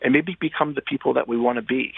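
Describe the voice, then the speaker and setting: A man being interviewed as a guest playwright, talking conversationally in a thoughtful, halting way with many pauses. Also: medium pitch, gravelly, breathy edge, dry recording, phone-quality sound.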